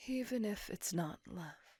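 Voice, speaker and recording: A woman voicing a lovesick, possessive vampire in an intimate, dramatic ASMR roleplay, breathy and mostly whispered. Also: mid-range voice, expressive, warm tone, no room sound. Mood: obsessive, seductive. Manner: intimate, drawn-out, dramatic delivery. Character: lovesick, possessive female vampire lover, melancholy and seductive.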